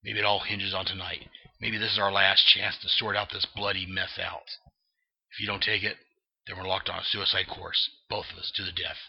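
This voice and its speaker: A man reading aloud the lines of a weary grim male vigilante, speaking low, quiet and earnest. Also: little pitch movement, moderate pace, vocal fry, husky, full character voice. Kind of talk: reading comic dialogue aloud. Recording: dull lo-fi dry recording.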